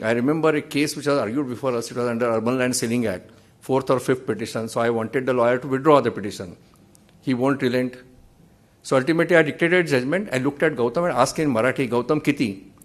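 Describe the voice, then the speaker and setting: A man in his sixties with an Indian English accent, a judge giving a formal memorial lecture at a podium in a large auditorium, telling measured, wry anecdotes. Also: mid-range voice. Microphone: podium microphone.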